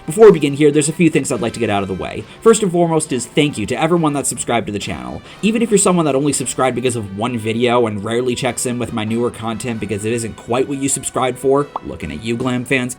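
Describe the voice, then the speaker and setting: A man's voice: medium pitch, a little mellow. A man hosting a video with a casual, scripted introduction in vlog style. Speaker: video host.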